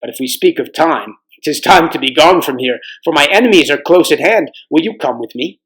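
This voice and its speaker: A man's voice: on the high side, lively intonation, a little fast, a bit bright, poor audio. Man reading as a courteous, formal storybook king. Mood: earnest, urgent. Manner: formal, archaic speech. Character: courteous, grave young king of a fantasy realm.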